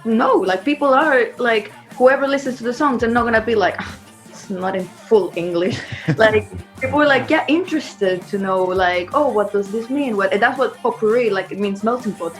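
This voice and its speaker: A woman's voice: a little high, moderate pace, soft background music. A woman chatting informally as an interviewed band member, in a loose, conversational way. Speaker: band singer and songwriter being interviewed. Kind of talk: casual chat.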